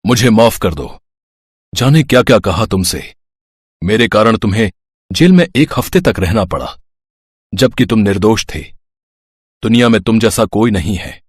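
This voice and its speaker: A man's voice: fairly low pitch, expressive, normal speed, pauses often, slightly rough, no room sound, clean studio sound. A man voicing a wealthy middle-aged employer in a cartoon story, speaking earnestly and apologetically. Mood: remorseful.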